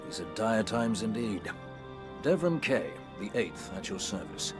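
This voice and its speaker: An actor playing a courteous, wry older militia sniper with a British accent, speaking in droll, old-fashioned phrases for a video game. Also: male voice, fairly low pitch, big pitch swings, a little slow, music in the background. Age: middle-aged. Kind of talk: video game character acting.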